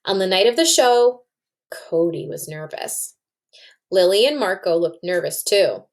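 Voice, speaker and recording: A woman's voice: mid-range voice, lively intonation, measured pace. A woman reading a storybook aloud to children and explaining it like a teacher, with questions to the listeners.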